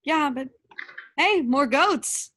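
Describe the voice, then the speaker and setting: A woman playing a wistful, reserved, gently wry young woman in a staged comedy reading. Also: high voice, lively intonation, slow, heavily acted. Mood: wry, awkward. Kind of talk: staged reading of a comic play.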